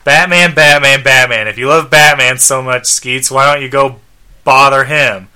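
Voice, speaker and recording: A man's voice: medium pitch, fairly even intonation, moderate pace, bright, forceful tone, smooth. A man voicing a bitter, irritable, washed-up superhero from a comic book, curt and defensive. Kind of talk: comic book read aloud.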